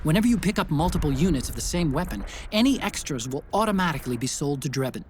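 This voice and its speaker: Man playing highish-voiced tech ally. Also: lively intonation, quick pace, a little mellow, a little hiss or hum, close to an everyday voice. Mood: helpful, earnest. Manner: clear, explanatory, helpful. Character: earnest, brainy male tech support ally. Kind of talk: scripted mission briefing over radio link.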